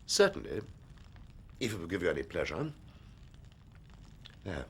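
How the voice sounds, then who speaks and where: An actor playing a stiff, haughty upper-class English aristocrat in a period radio drama, pompous and formal. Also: male voice, deep voice, very animated, measured pace, a little hiss or hum, exaggerated voice. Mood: cold, offended.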